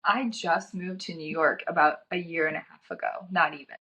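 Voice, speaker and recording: Young woman, slightly raspy, lowish voice. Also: fairly even intonation, normal speed, pushed and bright. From North Carolina, USA. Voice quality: slightly raspy, scratchy throat. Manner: chatty, frequent "like" filler. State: getting over a cold. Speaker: fashion model guest. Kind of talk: casual chat.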